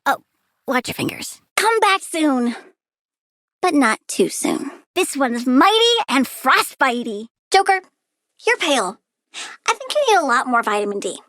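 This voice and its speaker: Very high-pitched bratty little villain girl. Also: very animated, a little slow, fairly bright tone, dry recording, clean studio sound, heavily acted. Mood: eager, teasing. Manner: childish, bratty, cutesy delivery. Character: young girl plant villain, playful, snarky, gleefully menacing child. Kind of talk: video game character voice lines.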